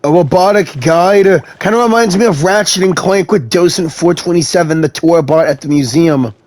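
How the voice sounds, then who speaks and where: A man's voice: fairly high pitch, moderate pace, darkish tone. A man giving casual gameplay commentary as he plays, reacting off the cuff. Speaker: gamer, let's player.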